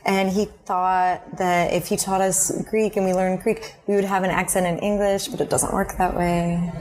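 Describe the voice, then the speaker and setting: A woman's voice: fairly low pitch, level tone, normal speed, noisy background. A young woman from Connecticut, a fashion designer chatting casually as a guest and laughing often. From Connecticut, United States. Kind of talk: casual chat.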